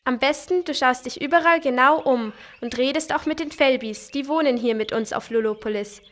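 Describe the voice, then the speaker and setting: A woman's voice: high voice, normal speed. A cheery cartoon girl. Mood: eager. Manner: bright, eager, sweetly pleading. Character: cheerful cartoon girl Lolli, young and friendly. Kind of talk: children's game voice acting.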